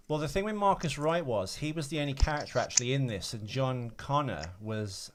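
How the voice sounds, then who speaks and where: Male podcast co-host, medium pitch, informal film chat, sweary banter. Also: brisk, noisy background. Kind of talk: casual film chat, trivia.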